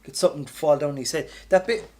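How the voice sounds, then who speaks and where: A man's voice: a little high, quick pace, warm tone. An Irish man co-hosting a podcast in a casual, rambling, sweary and colloquial way. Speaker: podcast co-host. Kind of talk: casual chat.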